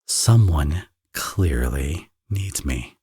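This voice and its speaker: A man's voice: extremely low pitch, expressive, very slow, dark tone, slightly rough, no echo, clean studio sound, heavily acted. A man playing a teasing, flirtatious villain and doting father in an intimate romance audio roleplay. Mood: tender, doting. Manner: playful, smug, sarcastic teasing.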